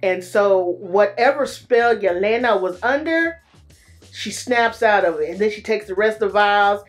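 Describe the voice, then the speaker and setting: A woman with a mid-range voice tells a movie plot in a loose, chatty style, slangy and lively, tossing in playful exclamations. Soft music plays underneath her. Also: medium pitch, measured pace. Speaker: movie reviewer. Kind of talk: casual movie recap.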